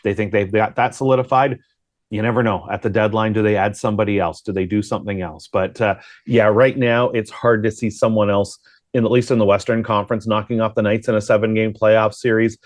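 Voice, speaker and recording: A man's voice: fairly low pitch, fairly even intonation, fairly quick, no room sound, clean studio sound. A man giving conversational hockey analysis as a guest broadcaster, often posing questions to himself. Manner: thinks aloud with rhetorical questions.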